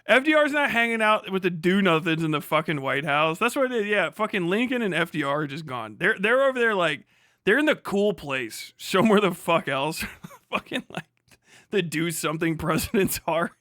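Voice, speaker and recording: A man with a high, bright voice riffs casually as a live streamer. He is animated, slangy and profane, in a comic, off-the-cuff style, recorded dry. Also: normal speed, no room sound. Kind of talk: casual comic riffing on a livestream.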